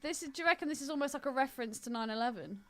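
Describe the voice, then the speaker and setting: A woman's voice: sits high, animated, racing, a bit bright. A woman co-hosting a podcast, chatting casually and bluntly, often questioning what she hears. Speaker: podcast co-host. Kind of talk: casual unscripted film podcast chat.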